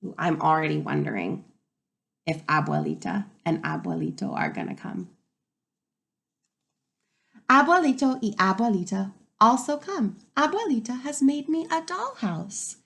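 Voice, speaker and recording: A woman reading a picture book aloud to young children like a teacher, expressive and pausing to ask wondering questions. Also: mid-range voice, gravelly. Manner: expressive, pauses to pose questions to young listeners.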